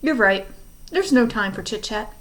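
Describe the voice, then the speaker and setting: A woman's voice: on the high side, lively intonation, normal speed, fairly bright tone, pitched above the performer's own voice. A woman reading aloud and voicing a scheming, menacing male villain who mocks the children. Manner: mocking, menacing.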